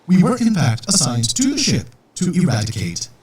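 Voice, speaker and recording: An actor playing a formal, deadpan, world-weary robot assassin in a scripted audio comedy. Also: male voice, on the high side, normal speed. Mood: coolly matter-of-fact. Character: dry, world-weary male robot assassin posing as repair bot. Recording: roomy echo, distant from mic.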